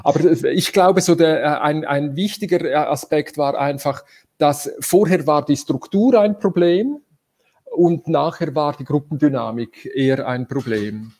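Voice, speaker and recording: A man hosting a video call from a room at home, talking with many fillers and pauses as he searches for words. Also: a little high, darkish tone. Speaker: host. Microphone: video call webcam.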